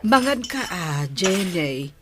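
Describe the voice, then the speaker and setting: An actress playing a gentle mother coaxing her daughter and calming her husband in a radio drama. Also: on the low side, slow pace, noisy background. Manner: soothing, coaxing.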